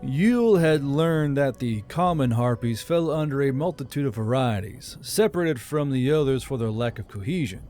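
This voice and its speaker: A man's voice: mid-range voice, animated, normal speed, hardly any pauses, darkish tone, soft background music. A man reading scripted fantasy audiobook narration as a storyteller.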